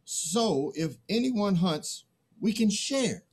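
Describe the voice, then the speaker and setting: Conscientious peacemaker, played by a middle-aged man, slow and hesitant, very animated pitch, stage reading. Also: husky, heavily acted. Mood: earnest, troubled. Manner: hesitant, earnest. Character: conscientious man pleading for the old ways. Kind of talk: dramatic play reading. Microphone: computer mic on video call.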